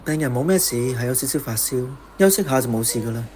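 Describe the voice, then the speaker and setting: A man playing a calm hospital doctor in an audio drama, matter-of-fact in manner. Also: medium pitch, fast, lightly acted. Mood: calm, reassuring. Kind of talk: Cantonese audio drama acting.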